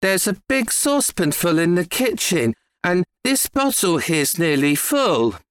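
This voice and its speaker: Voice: mid-range voice, very animated, a little slow, voice pushed up. A storyteller voicing an earnest, eager young boy. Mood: proud.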